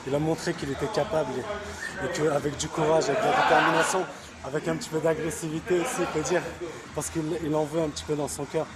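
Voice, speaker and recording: A man with a moderately high voice answers in a casual interview. He explains things step by step, often repeating a phrase before he completes the thought. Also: level tone, fast talker. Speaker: interviewee, combat sports practitioner.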